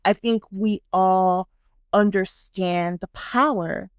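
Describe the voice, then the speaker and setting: A woman with a South Florida accent talks as a podcast guest in a mid-pitched voice. She is animated and reflective and laughs often. The sound is dry and close. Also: takes it slowly, clear, resonant tone, dry recording. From Miami, Florida, United States. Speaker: podcast guest, social worker. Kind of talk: casual conversation.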